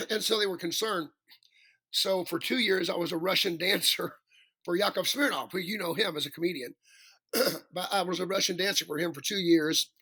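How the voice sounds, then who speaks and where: A male singer with a raspy voice, chatting as a podcast guest, rambling and self-deprecating with many restarts. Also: high-pitched, normal speed, pushed and bright. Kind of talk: casual interview chat.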